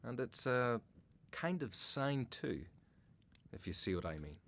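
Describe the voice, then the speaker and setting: Man acting patient devout guide, medium pitch. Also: sing-song, unhurried, a bit bright. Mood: earnest. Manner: explanatory, sincere. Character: devout, helpful male desert community member, patient explainer. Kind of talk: religious radio drama acting.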